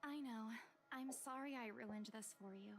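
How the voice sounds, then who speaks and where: A woman's voice: fairly high pitch, moderate pace, soft background music, natural voice. A woman voicing a stubborn but apologetic young saleswoman in a visual novel game. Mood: subdued, apologetic.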